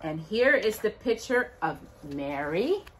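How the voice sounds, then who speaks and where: Slow, animated woman reading a children's storytime. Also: medium pitch, slight background noise. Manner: sing-song, expressive, addressing young listeners. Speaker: storytime host. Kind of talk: children's storytime, reading verse and scripture aloud. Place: indoors at home by a brick fireplace.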